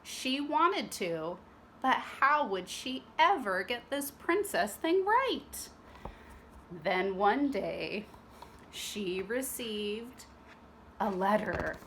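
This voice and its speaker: A woman's voice: mid-range voice, sing-song, extremely slow, a bit bright, slight background noise. A woman narrating a children's storybook expressively, with teacherly asides to young listeners. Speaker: storybook reader.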